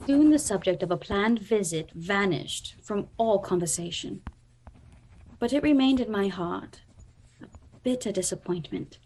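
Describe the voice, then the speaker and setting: An actress playing a sheltered, wistful young gentlewoman, telling her story formally in a radio drama. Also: mid-range voice, lively intonation, a little slow, poor audio. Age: young adult. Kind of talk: radio drama narration and dialogue.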